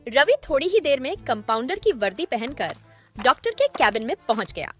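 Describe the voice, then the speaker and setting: A woman's voice: high voice, very animated, a little fast, faint music behind. A woman reading a scripted horror story as its narrator.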